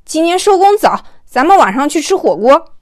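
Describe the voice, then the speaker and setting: A caring young husband in an audiobook , acted by a woman narrator, watchful and coaxing. The delivery is brisk, with a dry, close sound. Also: high-pitched, very animated, dry recording. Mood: casual, probing.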